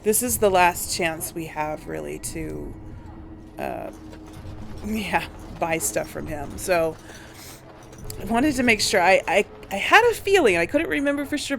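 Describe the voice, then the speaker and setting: Slow-paced woman with a creaky voice. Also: mid-range voice, expressive. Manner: thinking aloud, hesitant. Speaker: video game player narrating a role play. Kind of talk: casual let's play commentary.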